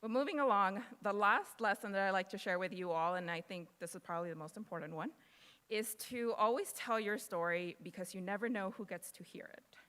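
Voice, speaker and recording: A woman's voice: medium pitch, a little fast, fairly bright tone, clean tone. A young woman in her twenties, Spanish her first language, giving an earnest, casual motivational speech to students. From United States. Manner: earnest, casual with fillers and laughs. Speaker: guest speaker. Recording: long hall reverb.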